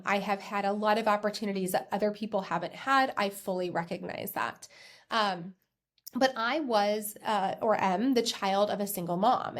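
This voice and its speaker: An American woman in her thirties hosting a podcast, telling a personal story in a conversational, self-reflective way with emphatic repetitions. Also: mid-range voice. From United States. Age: 30s. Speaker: podcast host and accountant.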